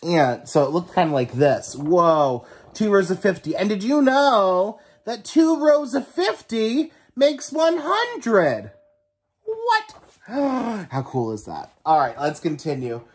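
Young guy doing a kids read aloud lesson, slow and super animated, big amazed questions. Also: high-pitched, breathy edge. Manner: animated, exaggerated wonder, asking questions. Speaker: teacher reading a children's book aloud. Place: small room at home, indoors.

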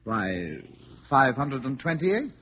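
A man's voice: medium pitch, very animated, takes it slowly, sharp tone, slight background noise, heavily acted. An actor playing a young page at King Arthur's court, speaking formal, flowery archaic phrases in old-time radio style. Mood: matter-of-fact, ominous. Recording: dull old radio recording.

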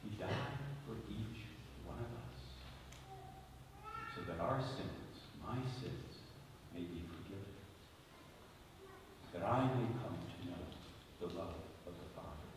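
A man preaching a homily as a bishop, solemn and deliberate, speaking phrase by phrase with emphatic stresses in a large church. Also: deep voice, expressive. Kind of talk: sermon. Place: large stone-walled church, at the ambo before a congregation. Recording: very echoey church, distant mic, faint noise.

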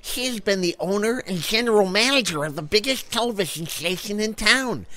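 A man's voice: sits high, animated, brisk, background noise. An actor playing a chatty, effusive male informant who loves the theatre, in a comedy audio drama. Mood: confiding, gossipy. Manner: effusive, gossipy.

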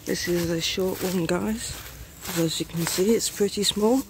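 A man's voice: sits high, a little slow. A young man casually narrating his walk through an abandoned bungalow, talking to viewers on a handheld camera. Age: young adult. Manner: keeps addressing viewers as guys. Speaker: urban explorer vlogger. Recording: noisy outdoor camera audio.

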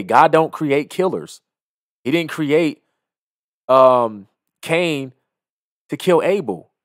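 A man's voice: medium pitch, no room sound. A young man giving emphatic, moralizing reaction commentary into a close microphone. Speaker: video commentator. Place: small indoor home studio. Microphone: close studio microphone on an arm, headset on.

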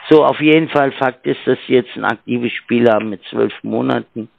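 Gravelly man on a tinny phone line. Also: medium pitch, slight background noise. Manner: halting, fumbling with fillers. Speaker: scam caller. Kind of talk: phone sales pitch. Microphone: telephone.

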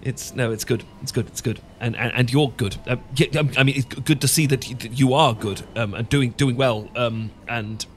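A man's voice: medium pitch, normal speed, few pauses, a little hiss or hum, lightly acted. A man with a British accent playing an awkward, sentimental English professor in a romantic ASMR roleplay, stammering and hesitant. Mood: awkward, nervous. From Britain. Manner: stammering, hesitant, full of false starts. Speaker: audio roleplay performer.

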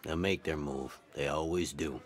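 An actor playing a shrewd, world-weary bar regular in a radio drama, a knowing and probing friend. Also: male voice, extremely low pitch, steady tone, moderate pace, loud music behind the voice. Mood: knowing, concerned.

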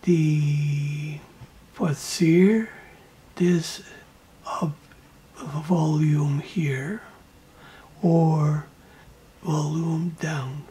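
A man narrating a hands-on electronics tutorial in halting, clipped short phrases, with a breathy voice that trails off into whispers. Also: fairly high pitch, fairly even intonation, dark tone. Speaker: electronics project presenter.

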